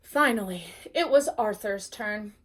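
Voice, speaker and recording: A woman teacher reading a storybook aloud expressively and pausing to put questions to her young listeners. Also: mid-range voice, a bit bright. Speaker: teacher reading a bedtime story to first graders.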